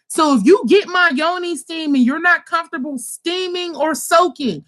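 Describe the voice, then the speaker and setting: High-voiced, chatty woman selling spiritual kits. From Pittsburgh, USA. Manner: animated, chatty, swears freely, addresses viewers as y'all. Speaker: spiritual kit seller and channel host. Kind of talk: casual livestream chat to viewers.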